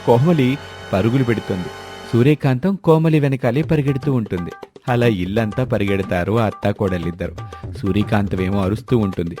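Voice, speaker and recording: Bright, low-pitched male storybook narrator. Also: a little fast, faint music behind.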